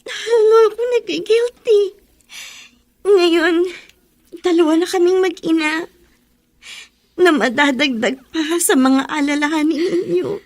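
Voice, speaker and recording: A fragile young Filipina mother and remorseful daughter in a radio drama. Her voice is extremely high, and she speaks with apologetic, emotional gratitude. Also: slow, long pauses, full character voice. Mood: tearful, guilty. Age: young adult.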